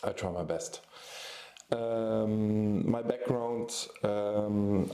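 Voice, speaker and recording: Man in his 40s, non-native English speaker from Luxembourg; low, unhurried, halting delivery; echoey room. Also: steady tone, mellow. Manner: hesitant, many ums and restarts. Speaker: photographer and YouTube host. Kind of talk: casual vlog talk.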